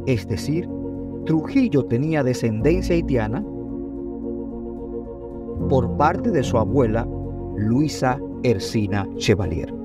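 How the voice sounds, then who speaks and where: Clear background music runs under a man's voice of middling pitch. He narrates like a documentary presenter, reading a script formally. His delivery is measured, set out in short phrases. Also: expressive.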